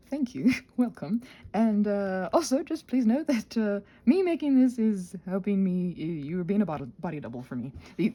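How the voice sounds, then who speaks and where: A woman's voice: medium pitch, darkish tone, breathy edge. A woman rambling casually and unscripted, scattered and self-deprecating, often trailing off, sounding tired and congested with a sinus infection. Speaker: solo host of a rambling audio channel.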